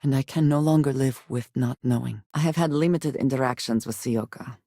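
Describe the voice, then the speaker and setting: An actress playing a guarded, wary woman companion in a space video game, with formal, measured phrasing and a dry manner. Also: extremely low pitch, warm tone, dry recording. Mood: earnest, reserved.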